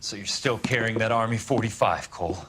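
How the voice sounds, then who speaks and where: A man's voice: lowish voice, fairly quick, noisy background, lightly acted. A man acting in a video game as a tough, weary ex-Marine sergeant, terse and commanding. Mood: grim. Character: tough weary no-nonsense male ex-Marine sergeant turned investigator.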